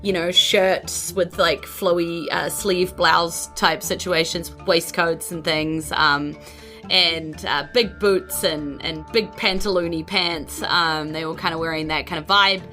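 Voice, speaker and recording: A woman's voice: lowish voice, steady tone, measured pace, bright, forceful tone, faint music behind. A woman narrating a tabletop game as its host, in an informal, conversational, descriptive way. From New Zealand. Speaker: game master and podcast host.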